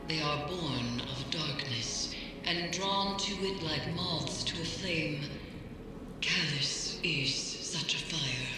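An actress playing a solemn, brooding mystic woman in a video game, speaking in a grave, deliberate, cryptic way. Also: low-pitched, music in the background. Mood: solemn, foreboding. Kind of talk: dramatic video game voice acting. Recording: cavernous reverb with noisy game ambience.

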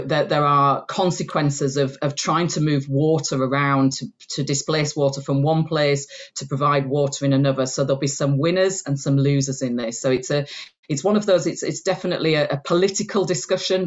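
A woman in her forties answers as a guest expert on a video call. She explains clearly, in a steady flow. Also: deep voice, normal speed. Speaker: chief executive of a science society, guest expert. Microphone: earphone headset on a video call. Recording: dull lo-fi video-call audio.

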